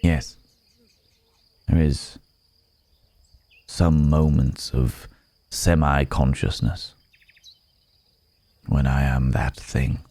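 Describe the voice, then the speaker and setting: A man playing a weary, remorseful werewolf in human form, speaking haltingly and gravely in an intimate ASMR roleplay. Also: rumbling low, fairly even intonation, dark tone, dry recording, full character voice. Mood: pensive, explaining.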